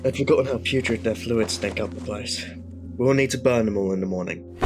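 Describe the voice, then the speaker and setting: A man's voice: lowish voice, brisk, music in the background, close to an everyday voice. An actor playing a blunt young man in Victorian London, in a period audio drama. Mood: knowing, sardonic.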